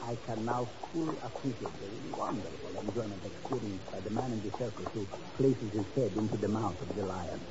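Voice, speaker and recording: An actor in a 1950s radio drama playing a suave European baron with florid, flattering, elaborately courteous talk. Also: male voice, lowish voice, brisk, hardly any pauses, close to an everyday voice. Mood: pleased. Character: suave, flowery, theatrically gallant European baron and rogue. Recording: noisy, muffled old radio recording.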